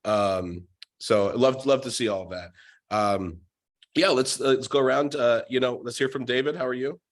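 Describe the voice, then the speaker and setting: A man's voice: mid-range voice, steady tone, moderate pace. A man hosting an online group meeting, talking loosely with many fillers. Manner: rambling, many "you know" fillers. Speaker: meeting host. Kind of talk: casual group call chat. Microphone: video call.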